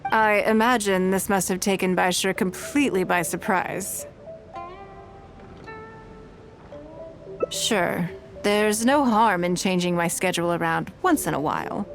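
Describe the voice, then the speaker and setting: A woman voicing an elegant, shrewd and courteous businesswoman in scripted video game dialogue, speaking in a poised, measured and articulate way. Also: fairly low pitch, lightly acted. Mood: composed, pleasant. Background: background music.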